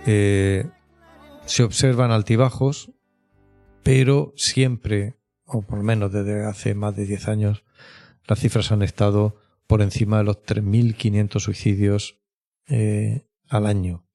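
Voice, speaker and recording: A man with an accent from Spain speaks as an expert interview guest, explaining in a measured, conversational way and often checking in with short tag questions. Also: fairly low pitch, little pitch movement, vocal fry, mellow, soft background music. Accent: Spanish from Spain. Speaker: clinical psychologist, interview guest.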